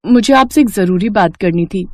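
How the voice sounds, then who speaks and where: A woman's voice: medium pitch, normal speed, darkish tone, natural voice. A woman voicing a naive young bride in a cartoon, earnest and flustered. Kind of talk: cartoon dubbing.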